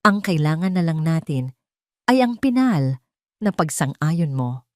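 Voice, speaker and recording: A woman's voice: deep voice, very animated, a little slow, no room sound, studio quality. A woman voicing a cold, sarcastic male tycoon in an audio drama, with a clipped, commanding manner. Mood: confident.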